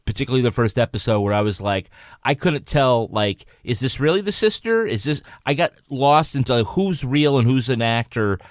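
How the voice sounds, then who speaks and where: A man talks as a panelist in a casual critical review. His voice sits at an average pitch, captured dry with no room sound. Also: animated, normal speed, no echo. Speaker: podcast panelist.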